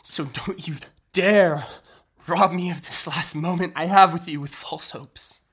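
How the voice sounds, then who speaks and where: Slow, breathy, doomed young man, acted by a man. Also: on the high side, steady tone, a bit bright. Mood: desperate, firm. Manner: halting, earnest, deliberate. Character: doomed young man, loving, resolute, forgiving best friend. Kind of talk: emotional audio drama acting.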